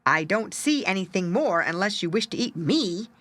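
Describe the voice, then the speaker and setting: A polite little storybook parrot, played by a woman reader, with a touch of indignation. The delivery is bright, with lively rises and falls in pitch. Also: moderate pace. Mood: indignant. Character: small male parrot, polite but growing indignant.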